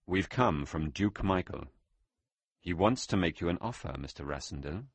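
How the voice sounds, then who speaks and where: A menacing henchman acted by a male narrator in a storybook. He talks softly and persuasively in a very low, husky voice. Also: expressive, moderate pace, no room sound, exaggerated voice. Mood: coaxing menace. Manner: soft-spoken, coaxing. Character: smooth-talking menacing henchman of a duke. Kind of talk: audiobook character voice.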